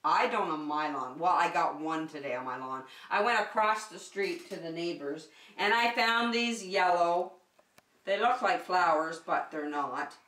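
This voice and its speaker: Woman, middle-aged, bright lowish voice, children's teacher, sing-song and encouraging, distant roomy recording. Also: unhurried. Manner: animated, sing-song, encouraging, simple phrasing for small children. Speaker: preschool circle time teacher and host. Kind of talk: children's lesson with craft demonstration. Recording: echoey room, distant microphone.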